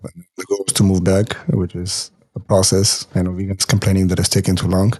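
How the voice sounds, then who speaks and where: A man in his forties who was born in Armenia speaks casually as a podcast guest. His voice is low, dark and very relaxed. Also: a little fast. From Armenia, then Los Angeles from age twelve. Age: 40s. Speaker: podcast guest, nonprofit board member. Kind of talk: casual conversational interview.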